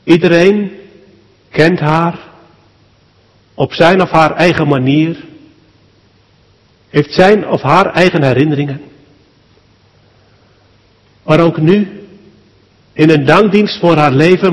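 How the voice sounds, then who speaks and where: A man, a pastor, leads a solemn public prayer at a church service in short, measured phrases with deliberate pauses. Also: on the high side. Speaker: pastor leading a funeral service. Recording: long church hall reverb.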